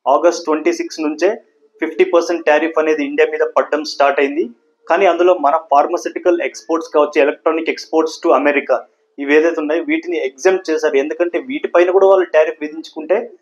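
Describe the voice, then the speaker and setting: A man hosts a news explainer in a fairly high voice. He lays out points in an explanatory way, dropping English words into his sentences. The audio sounds a little dull and band-limited. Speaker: host of a news explainer channel. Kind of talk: news commentary explainer to camera.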